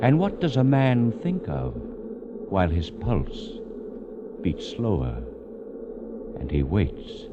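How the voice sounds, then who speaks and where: Very deep, ominous radio narrator. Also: male voice, huge pitch swings, heavy background noise, exaggerated voice. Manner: slow, portentous, theatrical. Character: grave, ominous male narrator of suspense tales. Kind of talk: 1940s radio drama narration. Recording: muffled 1940s radio broadcast recording. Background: dramatic music.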